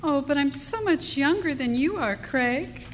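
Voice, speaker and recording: A woman's voice: high-pitched, animated, a little slow. A woman playing a sweet, gushing younger sweetheart in an old-time radio drama, coy and cooing. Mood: coy, adoring. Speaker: actress in a live radio play. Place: club hall stage. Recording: noisy reverberant hall.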